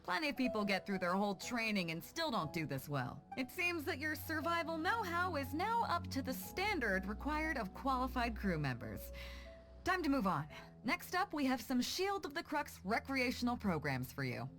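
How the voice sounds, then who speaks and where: A woman's voice: high-pitched, very animated, normal speed, a bit bright, soft background music. An actress playing a confident, playful woman ship captain in a video game, with a teasing, assured and instructive manner. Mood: encouraging, playful.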